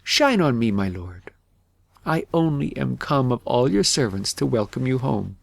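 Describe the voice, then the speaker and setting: A man's voice: medium pitch, very animated, measured pace, creaky, dry recording, exaggerated voice. A man voicing a small, proud, worshipful lark reciting storybook verse. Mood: proud, adoring.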